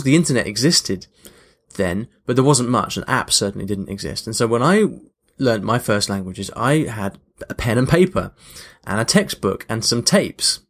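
A man hosting a podcast in a casual, chatty monologue, reflective and emphatic on key points. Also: fairly low pitch. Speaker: podcast host.

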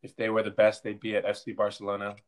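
A man with a fairly low voice answers interview questions as a coach. His intonation stays very flat. He talks informally, often doubling back on his words. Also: fast, slight background noise. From Belgium. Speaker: interview guest, soccer coach and team captain. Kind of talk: conversational interview.

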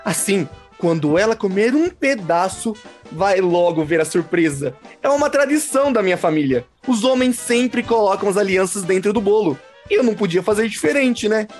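A man's voice: high voice, expressive, moderate pace, faint music behind. A man playing a boorish, gluttonous, self-satisfied suitor in a comic radio soap, with a blustering theatrical manner. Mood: smug, confiding.

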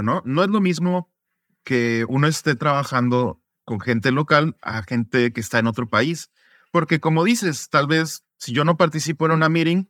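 A man from Mexico, a podcast guest, answering reflectively in a relaxed interview and often ending points with tag questions. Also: mid-range voice, dry recording, clean studio sound. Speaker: podcast guest, student advocate.

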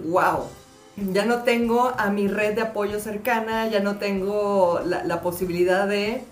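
A Venezuelan woman hosting a podcast, upbeat and playful as she introduces her guest. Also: mid-range voice. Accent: Venezuelan Spanish. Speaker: podcast host. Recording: roomy sound with faint music.

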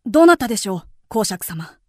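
A woman's voice: mid-range voice, big pitch swings, fairly quick, dry recording, studio quality. An actress playing a young noblewoman disguised as a devoted pageboy in a radio drama, polite, deferential and hesitant. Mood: attentive.

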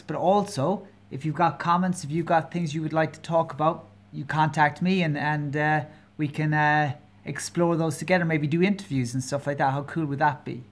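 A fortyish man from Kerry, speaking with an Irish accent and a voice pitched a little above the usual male range. He hosts a solo podcast, talking candidly and earnestly, with plenty of pauses, ums and restarts. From Ireland. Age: 40s. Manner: hesitant and earnest, many pauses and fillers; candid, openly personal. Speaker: podcast host.

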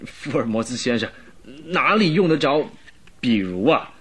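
Husky, timid, nervous gentleman butler. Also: mid-range voice, lively intonation, moderate pace. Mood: bitter, agitated. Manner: hesitant, stammering, apologetic. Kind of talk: radio drama acting.

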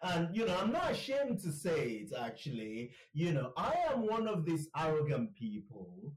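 A man's voice: a little high, huge pitch swings, moderate pace, reverberant, recorded from a distance, low-quality recording. A man hosting a podcast interview, enthusiastic and chatty, often repeating words and laughing. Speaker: podcast host. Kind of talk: casual interview chat.

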